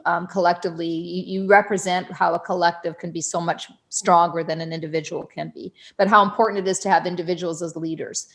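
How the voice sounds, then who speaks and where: Casting: woman podcast host, lowish voice, gravelly fry, admiring interviewer.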